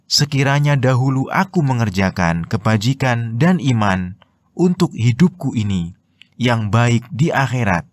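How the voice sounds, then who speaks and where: Male Indonesian narrator for religious explainer, mid-pitched, slow and measured delivery. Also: dry recording. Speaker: narrator of Quran translation and commentary.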